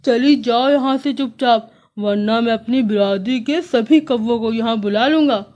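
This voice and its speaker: A woman voicing a greedy, bullying male crow who talks in a loud, drawn-out, gloating way. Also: a little high, muffled recording, close to an everyday voice. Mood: bossy.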